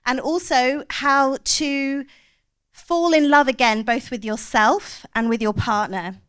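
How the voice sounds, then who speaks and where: A woman's voice: high voice, a bit bright, clean tone. A woman, a clinical psychologist giving a chatty, self-mocking short talk to an audience.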